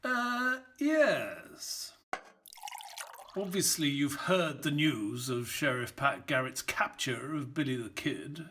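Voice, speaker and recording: Casting: earnest detective's companion and storyteller, bright, higher-set voice, audio drama. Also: male voice, slow pace, exaggerated voice. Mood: inquisitive. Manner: expressive, storytelling delivery. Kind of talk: audio play acting and narration. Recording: music behind the voice.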